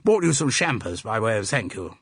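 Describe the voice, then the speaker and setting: A man's voice: fairly low pitch, big pitch swings, fairly quick, vocal fry, fairly bright tone, husky, no echo, full character voice. A man voicing a jovial, blustering man of about sixty with a hearty English public school accent, in an audiobook. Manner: jovial, blustering, chummy tag questions. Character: chubby sixtyish man, hearty, bluff, guffawing cricket enthusiast.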